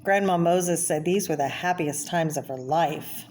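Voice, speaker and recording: A woman reads a children's nonfiction book aloud at a very unhurried pace. Her voice sits a little low, and she explains things plainly for young listeners. There is clear noise in the homemade recording. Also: a little mellow. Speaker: reader of a children's art book. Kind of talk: read-aloud narration with brief personal asides.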